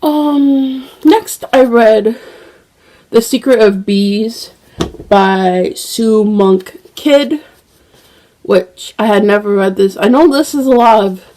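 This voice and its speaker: A young woman talks at an unhurried, drawn-out pace, rambling through her thoughts with plenty of fillers and restarts. She sounds a little stuffy from a cold and coughs now and then. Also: a little high, dark tone. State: slightly congested with a cold, occasional cough. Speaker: book vlogger. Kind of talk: casual chat.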